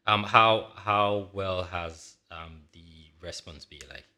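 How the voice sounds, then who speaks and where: A man hosts an interview podcast, his voice low and unhurried. His tone is bright and forceful, and he opens with a measured welcome before drawing out his guest with brief encouraging remarks. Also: fairly even intonation. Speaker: podcast host.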